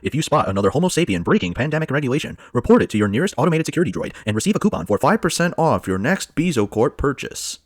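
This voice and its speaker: A man's voice: medium pitch, big pitch swings, very fast, hardly any pauses, no echo, clean studio sound. A man playing an officious automated security droid making formulaic announcements in an audio drama. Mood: officious, impersonal. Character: officious automated security droid barking pandemic rules and ads.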